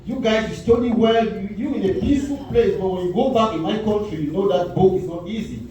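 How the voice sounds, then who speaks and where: A man's voice: sits high, fairly quick. A man in his forties from Cameroon with a Cameroonian accent, telling stand-up stories and acting out quoted voices. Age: 40s. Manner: storytelling with lively voiced quotes. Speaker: stand-up comedian. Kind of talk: stand-up comedy set. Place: comedy club stage. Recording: echoey club audio from far off.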